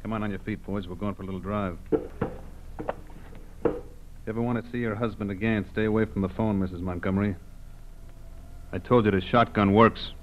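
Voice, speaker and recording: A dull, faintly noisy old radio recording carries an actor as a menacing male kidnapper. His voice is on the low side, and he snaps out curt, bullying orders in 1940s crime-drama style. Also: fairly even intonation, fairly quick, pauses often, lightly acted. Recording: muffled, crackly old radio recording with clicks.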